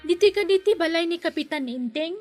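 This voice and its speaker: A woman's voice: very high voice, expressive, fairly quick, darkish tone, faint music behind. A woman playing a polite but persistent young debt collector in an Ilocano comedy drama, deferential, with an Ilocano accent.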